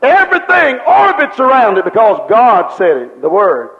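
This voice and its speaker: High-voiced fervent male preacher, echoing hall. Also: expressive, moderate pace. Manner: fervent, repetitive preaching with rhetorical questions. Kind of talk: sermon. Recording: dull lo-fi recording with long hall reverb.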